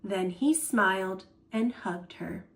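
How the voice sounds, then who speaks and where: A woman storyteller reading a children's picture book aloud in an expressive style. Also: mid-range voice, slow pace.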